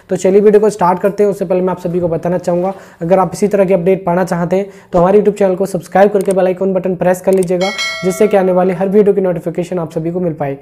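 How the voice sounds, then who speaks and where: Male online exam-update host, rapid pace, mellow tone, informal explainer, repetitive phrasing, frequent check-in tags. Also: high-pitched.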